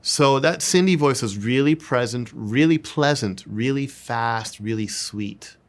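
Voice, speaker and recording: A man's voice: mid-range voice, expressive, measured pace. A man giving an informal sales coaching lesson, repeating words and phrases for emphasis. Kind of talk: informal instructional talk with demonstrations.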